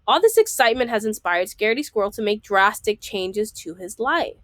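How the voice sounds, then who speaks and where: A woman's voice: mid-range voice, lively intonation, brisk, no room sound. A woman reading a children's book aloud, enunciating item by item and adding explanatory asides.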